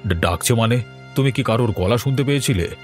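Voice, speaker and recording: A man's voice: medium pitch, animated, a little fast, a little mellow, faint music behind, close to an everyday voice. A man voicing a worried, grave middle-aged father in a horror cartoon.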